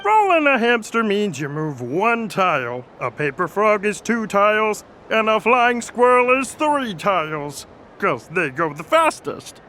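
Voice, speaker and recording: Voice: medium pitch, big pitch swings, measured pace, pushed and bright, a little hiss or hum. An actor voicing an eager, bossy young referee in an anime game, explaining like an announcer. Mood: eager announcing. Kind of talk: anime game cutscene acting.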